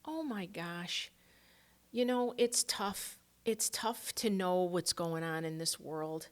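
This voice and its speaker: A middle-aged woman hosting a spiritual podcast, talking earnestly and heartfelt, dropping to a soft hush on reflective passages. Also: fairly low pitch. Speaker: podcast host.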